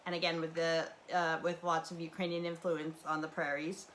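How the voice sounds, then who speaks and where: A chatty woman with a low voice, rambling casually through her home livestream. She wanders from thought to thought with plenty of fillers and restarts. A faint hum of ambient noise sits behind her webcam mic. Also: level tone, moderate pace, a bit bright. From Canadian prairies. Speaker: livestream host.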